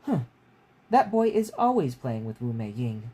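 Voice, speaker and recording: A man's voice: mid-range voice, big pitch swings, measured pace, mellow. Haughty, disapproving wife, voiced by a man. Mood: disdainful. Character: haughty, disapproving second wife of clan chief. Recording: clear background noise.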